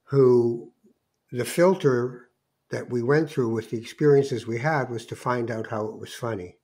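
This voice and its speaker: A man's voice: mid-range voice, measured pace, darkish tone, husky. An older man interviewing casually, in a thoughtful, tentative way.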